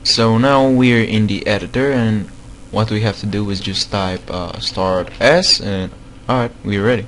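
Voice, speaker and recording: Slow, hesitant man with a non-native accent. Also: lowish voice, fairly bright tone, slight background noise. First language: not English. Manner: hesitant, many fillers, repeats himself. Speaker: game modding tutorial maker. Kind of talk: screen-recorded tutorial.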